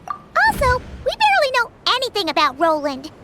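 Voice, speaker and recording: A woman voicing a perky, cheeky, childlike fairy companion in a video game, with a squeaky voice and an exclamatory, teasing manner. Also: huge pitch swings, moderate pace, heavy background noise, heavily acted. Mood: puzzled. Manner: exclamatory, teasing, refers to self by name. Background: music.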